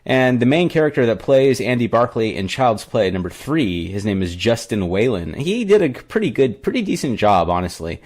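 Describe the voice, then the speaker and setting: A man's voice: medium pitch, expressive, normal speed, few pauses, darkish tone. A young man co-hosting a podcast, casually retelling a horror film with lots of fillers and restarts. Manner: rambling, filler-heavy retelling. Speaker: podcast co-host. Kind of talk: casual movie review chat.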